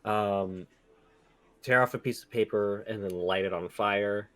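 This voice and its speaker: A man's voice: on the low side, measured pace, fairly bright tone. A man describing his character's actions in an improvised tabletop roleplaying game, hesitant and searching for words.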